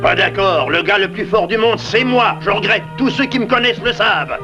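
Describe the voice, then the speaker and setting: Man voicing a cartoon father, high lively voice, earnest, emotional, dull VHS sound, music behind. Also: a little fast, lightly acted. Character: warm-hearted strong father of a girl. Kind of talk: dubbed cartoon acting.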